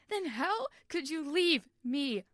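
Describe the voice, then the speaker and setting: A woman's voice: high-pitched, big pitch swings, slow pace, fairly bright tone, dry recording, clean studio sound, pitched above the performer's own voice, exaggerated voice. A woman voicing a hurt, furious young male ghost in a story, snapping accusations and pleading as one betrayed. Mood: anguished.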